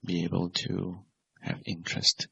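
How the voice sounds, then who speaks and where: A man teaching guided meditation as a Buddhist monk, speaking in measured, simple phrases and gentle questions. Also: deep voice, level tone, gravelly, slight background noise. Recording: dull, lo-fi recording.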